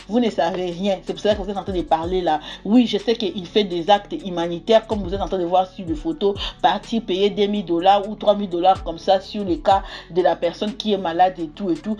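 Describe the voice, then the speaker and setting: A young woman from Congo holds forth on celebrity gossip in a casual, opinionated monologue, often circling on filler words while she hunts for the next phrase. Her pitch sits in an ordinary middle range, and faint music plays underneath. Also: dark tone. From Democratic Republic of the Congo. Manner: opinionated, repeats filler words like "quoi" and "tout et tout". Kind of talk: casual gossip commentary to camera.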